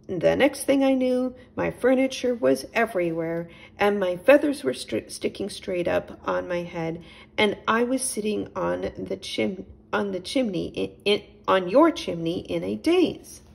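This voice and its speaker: A woman's voice: medium pitch, creaky, darkish tone, full character voice. A woman voicing an anxious, fussy and very polite little male owl in a children's storybook. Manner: flustered, prim, speaks in small repeated phrases.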